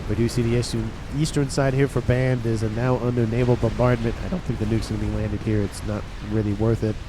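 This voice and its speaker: A man's voice: mid-range voice, fairly quick, noisy background. A man with a nasal, stuffed-up voice from a cold, casually commentating a strategy game and talking to his viewers. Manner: relaxed, rambling, talks to viewers. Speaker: game caster.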